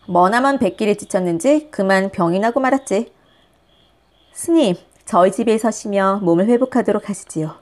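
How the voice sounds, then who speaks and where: A woman storyteller reads a children's picture book with very animated intonation. Her middle-pitched voice swings up and down expressively as she addresses young listeners.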